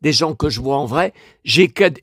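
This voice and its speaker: A man's voice: on the high side, lively intonation, moderate pace, fairly bright tone, slightly rough, no echo, clean studio sound. An older French man rambling to his viewers, complaining and restarting his words often. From France. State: in pain after an injury. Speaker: collector vlogger. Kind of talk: casual monologue to camera.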